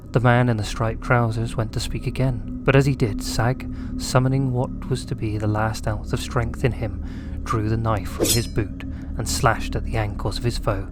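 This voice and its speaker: Low-voiced male storyteller over loud music. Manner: deliberate, dramatic storytelling. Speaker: narrator and writer. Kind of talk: scripted audio drama narration.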